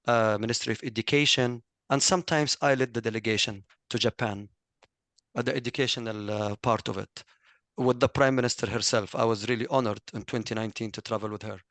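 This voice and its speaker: A middle-aged man with a thick Egyptian accent speaks earnestly, pausing on fillers and correcting himself as he goes. His voice sits in a middle pitch. The sound is dry and close, with no room echo. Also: fairly bright tone. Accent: Egyptian Arabic. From Egypt, raised in Kuwait. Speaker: former student speaker. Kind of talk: webinar testimonial talk.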